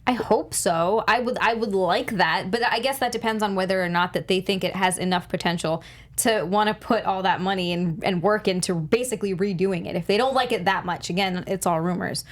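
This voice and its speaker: A woman's voice: mid-range voice, fairly quick. Woman in casual panel chat.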